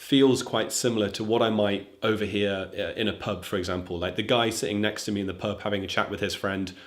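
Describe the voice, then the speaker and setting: A man's voice: fairly low pitch, steady tone, brisk. A young man, a native English speaker, casually reviewing a film for German learners, thoughtful and chatty with frequent ums. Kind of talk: casual talking-to-camera review.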